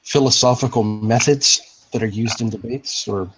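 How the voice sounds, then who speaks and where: A man's voice: medium pitch, moderate pace, crowd noise, rough recording. A man moderating an online voice-chat discussion, explaining in long philosophical sentences.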